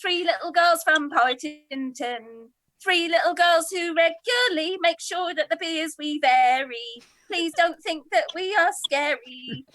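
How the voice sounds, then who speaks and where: A high, bright-voiced village woman played by an actress in a radio comedy. She is chatty and playful, the cheery amateur singer of the local pub, recorded close and dry. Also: unhurried, no room sound. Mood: jolly. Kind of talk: radio comedy drama acting.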